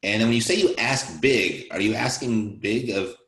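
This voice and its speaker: Low-voiced male interviewer in an echoey room. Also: expressive, normal speed, a bit bright. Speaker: interviewer, host. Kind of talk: conversational interview questions. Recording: roomy, echoey sound.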